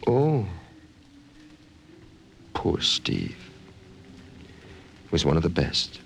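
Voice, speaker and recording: An American man acting in a 1950s radio drama as a calm, curious military doctor with a measured manner. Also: deep voice, gravelly, exaggerated voice. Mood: sombre. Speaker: radio actor. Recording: noisy old 1950s radio recording.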